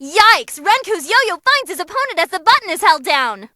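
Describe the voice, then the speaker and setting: A woman voicing an excitable young female demon who commentates on tournament fights in a bubbly, theatrical way for a game. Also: extremely high pitch, normal speed, no echo, studio quality, heavily acted. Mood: excited, hyped.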